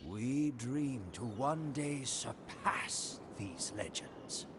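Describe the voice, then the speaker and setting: Devoted royal commander, game storybook narration, solemn, very varied intonation, unhurried delivery, music behind. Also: male voice, medium pitch, lively intonation, background noise. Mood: proud, solemn. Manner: solemn, dramatic, deliberate. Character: proud, devoted male commander of a royal guard. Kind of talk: scripted storybook narration in character.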